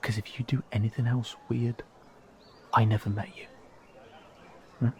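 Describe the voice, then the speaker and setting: A man's voice: mid-range voice, level tone, moderate pace, lightly acted. A man playing a wary young male cat-person guide in a fantasy audio drama, speaking casually and hesitantly straight to the listener. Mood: guarded, urgent, then casual, awkward. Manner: hesitant, casual, conversational asides.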